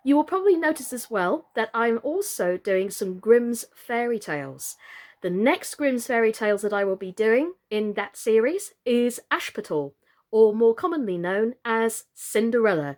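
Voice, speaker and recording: A woman reading and presenting stories for children, expressive and addressing her listeners directly. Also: on the high side. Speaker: children's story reader and online storytime presenter. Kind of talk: storybook narration and friendly presenting to children.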